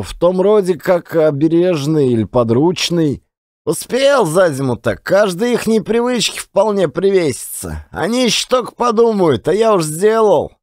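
A man's voice: high-pitched, darkish tone, no room sound, clean studio sound. A man performing a dramatized audiobook as a folksy Russian working man telling colloquial tales of his adventures. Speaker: audiobook narrator and actor. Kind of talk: one-man dramatized audiobook reading of classic fiction.

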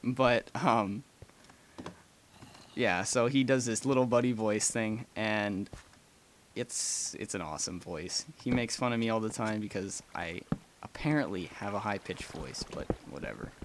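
A man's voice: fairly low pitch, unhurried, noisy background. A young man casually chatting and rambling through a let's play, with frequent ums and small laughs. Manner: rambling and chatty, many ums, gasps and laughs while playing. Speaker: gaming channel host.

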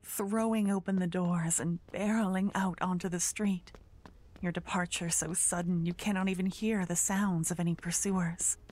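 A woman narrating a scripted second-person fantasy story in ASMR style, hushed, measured and suspenseful. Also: on the low side, steady tone, a little hiss or hum.

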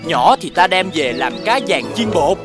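An actor voicing a gruff, greedy bull fisherman in a children's cartoon, with a dismissive delivery. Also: mid-range voice, expressive, moderate pace, music in the background, lightly acted. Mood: scornful.

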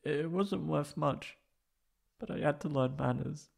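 A man voicing a wounded, anxious young catboy in an emotional ASMR roleplay, halting and stammering tearfully. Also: on the high side, gravelly, darkish tone, heavily acted. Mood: tearful, hesitant.

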